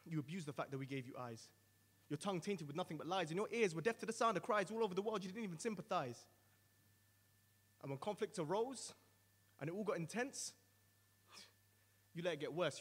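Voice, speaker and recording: A man's voice: on the high side, a little mellow, in a large hall, lightly acted. A man voicing a stern male angel of punishment who delivers a roaring, solemn condemnation in rhyme.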